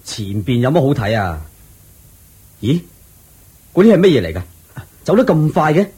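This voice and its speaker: A man voicing a commanding general in a radio drama, asking brusque questions in a husky voice. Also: medium pitch, big pitch swings, normal speed, mellow. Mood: puzzled. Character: curious commanding male general.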